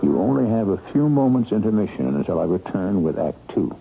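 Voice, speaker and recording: A man hosting a radio mystery show with deliberate, theatrical scripted narration. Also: on the low side, very animated, vocal fry, very noisy. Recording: tinny radio broadcast, music behind.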